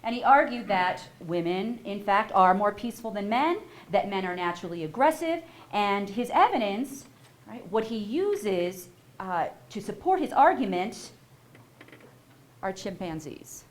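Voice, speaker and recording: A woman giving a public lecture in a university setting. She keeps it animated, stopping to pose questions to the audience. A faint hiss runs beneath her voice. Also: mid-range voice, measured pace. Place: lecture hall.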